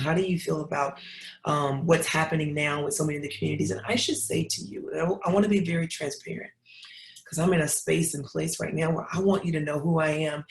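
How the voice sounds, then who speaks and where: A middle-aged woman from Knoxville, telling stories to an audience in an emphatic, preacherly, repetitive way. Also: low-pitched. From Knoxville, Tennessee. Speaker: guest speaker. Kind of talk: storytelling talk. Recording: roomy echo.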